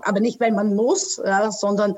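A woman in her thirties from Australia speaks as an interview guest in a mid-pitched voice. She talks hesitantly, restarting sentences and filling pauses. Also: steady tone, a little mellow. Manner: hesitant, many fillers and restarts. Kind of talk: casual interview talk.

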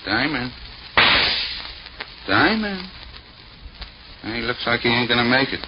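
A man's voice: medium pitch, very animated, slow pace. An actor playing a dim, obedient, casually brutal henchman with slangy goon talk in an old radio drama. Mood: dopey, casual. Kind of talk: 1950s radio detective drama acting. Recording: muffled, very noisy old radio recording.

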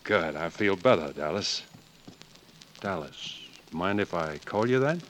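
A bashful young American cowboy outlaw in an old radio western. He has a fairly low voice and a halting, courteous manner, with slight hiss on the vintage recording. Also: expressive. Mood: tender. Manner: halting, soft-spoken courtesy. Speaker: actor in a radio drama. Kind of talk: 1940s radio acting, western.

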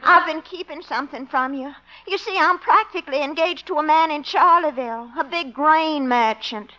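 A woman's voice: sits high, moderate pace, sharp tone. A woman playing a flighty, coy sweetheart in a 1950s radio sitcom, in a cutesy, melodramatic manner. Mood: coyly apologetic. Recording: muffled old radio recording.

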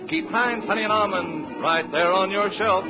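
An American man announcing in polished old-time radio advertising style, with a smooth sales delivery. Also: high-pitched, normal speed, over music. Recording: dull old radio broadcast recording.

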